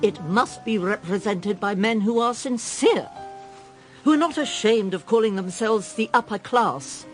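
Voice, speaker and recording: A woman's voice: medium pitch, expressive, a little slow, faint music behind, close to an everyday voice. An actress playing a dignified upper-class Conservative lady in a radio drama, speaking formally, sternly and with emphasis. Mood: stern, principled.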